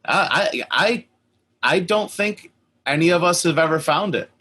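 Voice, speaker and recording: A man's voice: fairly high pitch, lively intonation, measured pace, sharp tone, breathy edge. A man hosting a casual interview, talking informally like an admiring fan. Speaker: interviewer, host.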